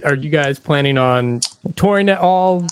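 A man's voice: on the high side, expressive, unhurried, smooth, no room sound. A man hosting a casual interview, easygoing and agreeable, often answering with short affirmations. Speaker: podcast host interviewing.

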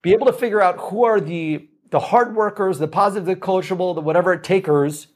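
Guest, male, 30s, fairly high voice, eager and quick, studio interview. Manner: energetic, enthusiastic, rapid lists. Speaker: sales company executive, guest. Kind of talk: casual interview answers.

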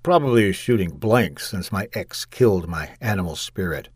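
A man's voice: sits low, expressive, normal speed, slightly rough, no echo, clean studio sound. A man voicing a nervous, petulant and lonely male client, hesitant and scoffing, in an audiobook short story. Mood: hesitant, serious.

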